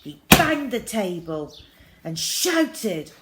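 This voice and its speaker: A woman reading a children's novel aloud as a first-person diary, with expressive, deliberate phrasing. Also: mid-range voice.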